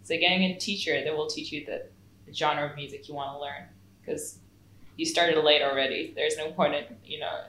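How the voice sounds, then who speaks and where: Low-voiced woman, chatty and laughing. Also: fairly even intonation, normal speed, a bit bright. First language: not English. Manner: chatty, laughs often, lots of fillers. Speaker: saxophonist and music producer. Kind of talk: casual interview. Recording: echoey room with faint noise.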